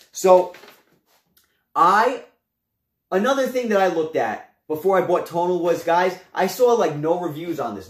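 Animated young man, high voice, roomy echo. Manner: animated, gestures a lot, addresses viewers as guys. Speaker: home gym reviewer. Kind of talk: casual product review to camera. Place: small room at home, indoors.

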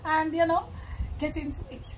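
A young adult woman with a Jamaican accent, chatting in an animated, informal way as a musician being interviewed. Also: high voice, moderate pace, a little hiss or hum. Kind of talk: casual interview chat. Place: outdoors on a porch by a garden.

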